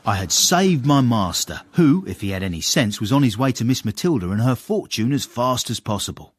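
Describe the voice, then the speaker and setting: A man playing a cheeky, sly young servant and self-satisfied storyteller in a radio drama, husky and wryly deferential. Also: medium pitch, animated, fairly quick, no echo. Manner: wry, deferential with sly irony. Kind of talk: radio drama acting and narration.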